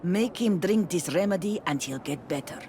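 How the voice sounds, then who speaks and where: A woman's voice: on the low side, expressive, moderate pace, dark tone, close to an everyday voice. A woman playing a proud, blunt native herbalist mother in a video game, speaking tersely. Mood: proud, stern. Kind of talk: video game dialogue acting. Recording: outdoor ambience noise behind voice.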